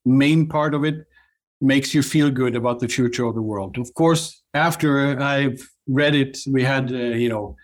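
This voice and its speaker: A middle-aged man from Norway with a Norwegian accent, a company cofounder chatting as an interview guest, often restarting and filling pauses with "uh". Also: mid-range voice. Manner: halting, many restarts and fillers. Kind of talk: casual interview chat.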